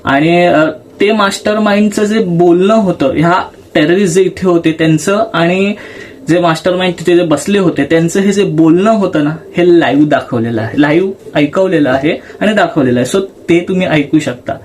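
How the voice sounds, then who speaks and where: A man's voice: fairly high pitch, a little hiss or hum. A man hosting a film channel, explaining things casually and conversationally with English words mixed in. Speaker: YouTube film channel host.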